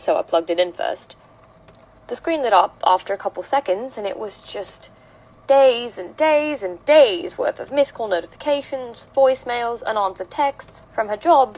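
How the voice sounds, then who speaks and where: A woman's voice: mid-range voice, darkish tone, lo-fi sound. A woman playing an anxious young woman giving a hesitant, conversational account of frightening, uncanny events in a horror audio drama. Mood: troubled, puzzled. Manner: hesitant, conversational, trailing off and self-correcting. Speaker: voice actor in a horror fiction podcast. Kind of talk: naturalistic confessional horror monologue.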